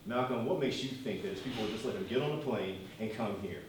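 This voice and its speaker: A man's voice: fairly low pitch, fast, very echoey, close to an everyday voice. Guy acting hard-headed loyal aide onstage, urgent n pleading, distant mic, faint noise. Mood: pleading, uneasy. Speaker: stage actor. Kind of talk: live one-act stage play acting.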